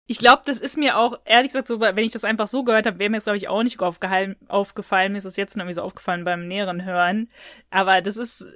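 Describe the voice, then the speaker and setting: Woman, podcast co-host, casual review chat, colloquial rambling retelling, mid-pitched voice, very dry sound. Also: steady tone, fast talker, a bit bright, no echo.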